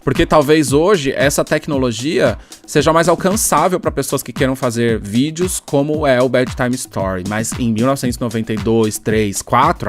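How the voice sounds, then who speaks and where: Brazilian podcast co-host, medium pitch, casual explainer, soft music bed.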